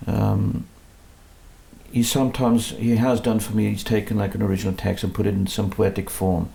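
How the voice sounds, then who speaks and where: A man's voice: on the low side, little pitch movement, moderate pace, gravelly, warm tone, slight background noise. A man, a composer being interviewed in his music room, talking in a modest, hesitant way with many restarts. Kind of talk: relaxed conversational interview.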